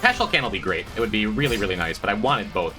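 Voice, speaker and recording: A man's voice: animated, fast talker, pushed and bright, soft background music. Stuffed-up man chatting over gameplay. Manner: rambling, self-mocking, breaks into high squeaky jokes. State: congested, stuffed-up voice. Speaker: streamer. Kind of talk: casual gameplay commentary to chat.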